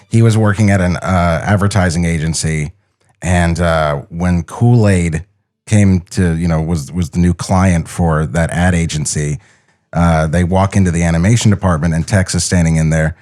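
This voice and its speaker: A man's voice: deep voice, moderate pace, darkish tone. A man co-hosting a podcast as an opinionated, rambling cartoon critic, chatting casually and swearing freely. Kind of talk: casual, profane podcast banter. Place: podcast studio. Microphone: podcast studio microphone.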